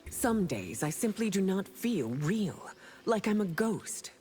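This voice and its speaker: An actress playing a proud, imperious, ageless empress in a video game, regal and cutting in manner. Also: female voice, mid-range voice, very animated, slow pace, warm tone, husky, soft background music, exaggerated voice. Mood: regal, haughty. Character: proud, imperious empress, thousands of years old. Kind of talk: video game character dialogue.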